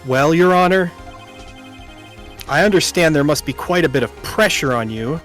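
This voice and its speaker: A man's voice: a little high, lively intonation, normal speed, fairly bright tone, soft background music. A man voicing an earnest young defence lawyer in an amateur game dub, easily flustered, pleading and emphatic. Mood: assertive.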